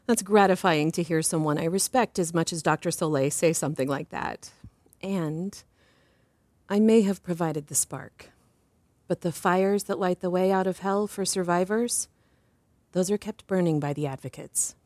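A woman playing an earnest, reflective doctor and survivor, speaking in a measured, slightly hesitant way in an audio drama. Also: on the low side, darkish tone, dry recording, lightly acted. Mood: modest, sincere. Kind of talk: audio drama interview acting.

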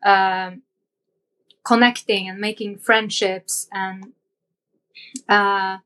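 Young Norwegian-accented woman guest, unhurried, hesitant, searching for words, mid pitch. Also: flat delivery, pushed and bright. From Norway. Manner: hesitant, with many ums and uhs, searching for words. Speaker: podcast guest. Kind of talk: casual interview conversation.

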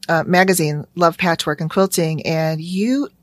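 American lady podcast host, lowish voice, casual chat, dry close sound. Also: steady tone, measured pace, no room sound. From suburban Chicago, United States.

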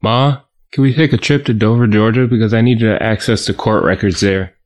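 An actor playing a polite, inquisitive grandson in an audio drama, speaking matter-of-factly. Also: male voice, on the low side, steady tone, fairly quick, natural voice. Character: inquisitive grandson researching his family's past.

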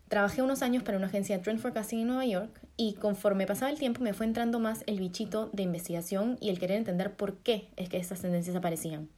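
A young adult woman with a Peruvian Spanish accent, co-hosting a podcast and introducing herself in a clear, steady way. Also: mid-range voice. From Peru. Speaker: podcast co-host, fashion designer.

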